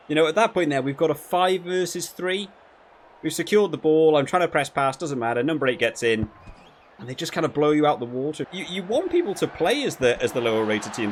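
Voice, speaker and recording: A man giving casual, rambling commentary while playing a video game, often halting and repeating his words. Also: medium pitch. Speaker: video game reviewer and player.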